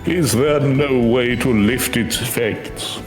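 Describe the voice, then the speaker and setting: A man's voice: mid-range voice, slow, close to an everyday voice. A man voicing a courteous, earnest magical familiar in a video game, speaking formally and eloquently. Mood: earnest, urgent. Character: courteous, earnest male magical familiar who hunts nightmares. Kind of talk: video game quest dialogue read aloud. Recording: echoey voice over game music.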